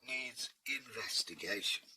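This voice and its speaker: A man's voice: mid-range voice, slow pace, noisy background, natural voice. A man voicing a streetwise, confident male cat, talking in a laid-back, cheeky way.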